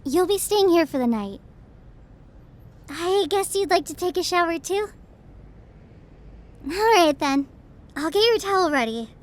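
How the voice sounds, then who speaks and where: A very high, girlish voice that takes its time with every phrase. A woman is acting out an anxious young woman in an audio roleplay, a devoted best friend who doubts herself. Her delivery is soft, halting and emotionally exposed. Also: lively intonation. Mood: tender, caring. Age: young adult.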